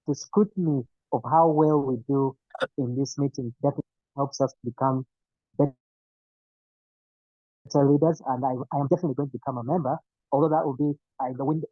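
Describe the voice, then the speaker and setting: A man's voice: medium pitch, mellow, no room sound. An enthusiastic man from Uganda speaking as a guest on a call. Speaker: guest at a club meeting. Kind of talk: casual remarks on a call. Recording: dull lo-fi call audio.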